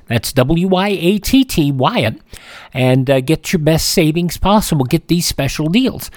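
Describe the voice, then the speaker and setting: A man's voice: on the high side, no echo, studio quality. A man hosting a radio show, giving a casual, ad-libbed sponsor read in a folksy way.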